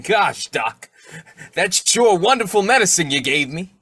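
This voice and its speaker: An actor playing a meek, grateful street drunk in a radio play, halting and bashful with a husky voice. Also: male voice, sits high, big pitch swings, sharp tone, no room sound, full character voice. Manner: halting, hesitant.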